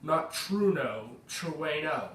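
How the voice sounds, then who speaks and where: A man's voice: on the high side, expressive, drawn-out words, a bit bright, a little hiss or hum. Chatty American man, sick, echoey room. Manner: chatty, rambling, corrects himself. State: slightly sick. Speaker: gaming video creator. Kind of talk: casual gameplay commentary. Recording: echoey room, mic at a distance, faint music.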